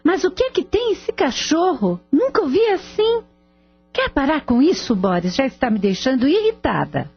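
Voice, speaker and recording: Radio drama lady of the house, played by a woman: testy, high-voiced, dull audio over music. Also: very animated, normal speed. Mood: irritated. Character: irritable, alarmed lady of the house.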